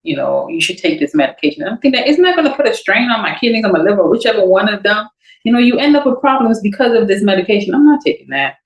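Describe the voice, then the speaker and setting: A woman's voice: medium pitch, sing-song, fast talker, reverberant, lo-fi sound. A middle-aged woman from Trinidad and Grenada, a podcast guest talking casually and laughing often as she tells her story. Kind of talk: casual interview chat.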